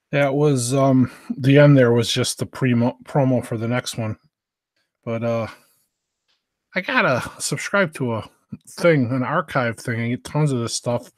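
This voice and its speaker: A man's voice: mid-range voice, measured pace, long pauses, dry recording. A man from the United States chatting casually as a live stream host, hesitant and meandering. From United States, Southern Virginia.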